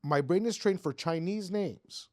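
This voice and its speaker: A man from Greece hosting a podcast interview, animated and building his points with rising emphasis. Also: sits high, a little slow, dry recording, clean studio sound. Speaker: podcast host. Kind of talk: conversational interview.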